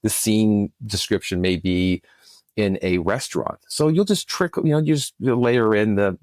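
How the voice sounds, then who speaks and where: A man's voice: fairly low pitch, a little mellow, dry recording. A man giving enthusiastic explanations as an interview guest, often restarting his phrases as he goes. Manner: enthusiastic, halting with repeated starts. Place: remote recording over internet software.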